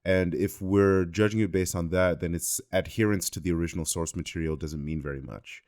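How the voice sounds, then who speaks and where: Deep-voiced man talking analytically. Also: steady tone, brisk, a little mellow. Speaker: podcast co-host. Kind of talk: casual analytical discussion.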